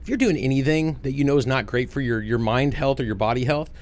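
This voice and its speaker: A man's voice: mid-range voice, quick pace. A man in his thirties co-hosting a podcast, talking in a rambling, casual way with many restarts and some swearing. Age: 30s. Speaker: podcast co-host.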